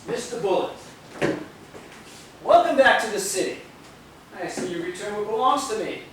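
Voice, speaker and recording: A man on stage playing a menacing, smug corporate tyrant and stern father, lecturing pompously with deliberate pauses. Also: high-pitched, expressive. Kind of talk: theatrical stage acting. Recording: distant, echoey theater videotape with noise.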